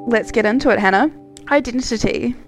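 A woman's voice: on the high side, fairly quick, faint music behind. A young woman co-hosting a podcast, talking in a chatty, reflective way with plenty of "like" fillers. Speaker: podcast co-host. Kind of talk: casual chat.

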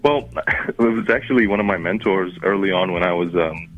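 Deep-voiced man with an Arabic-Kiwi accent. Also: brisk, narrow, tinny audio, faint music behind. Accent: mix of Arabic and New Zealand. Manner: rambling, many fillers and restarts. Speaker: musician guest. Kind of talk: casual interview chat.

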